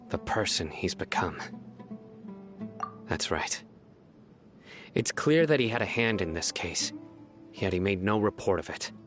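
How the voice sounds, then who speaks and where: A man voicing a stern young judge in a video game, speaking gravely and resolutely. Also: low-pitched. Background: game music with plucked guitar.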